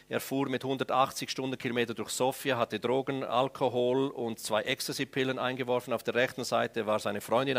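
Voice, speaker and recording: A man's voice: mid-range voice, fairly bright tone. A man preaching in a church, telling a conversion story and asking for slides. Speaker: preacher presenting a mission report. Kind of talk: sermon with slides.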